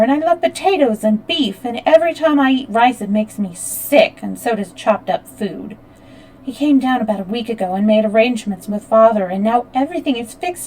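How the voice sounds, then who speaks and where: A woman reading the lines of an unhappy young bride, quick and emphatic. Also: a little high, darkish tone, pitched above the performer's own voice, close to an everyday voice, rough recording. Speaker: audiobook reader. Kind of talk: read-aloud fiction dialogue.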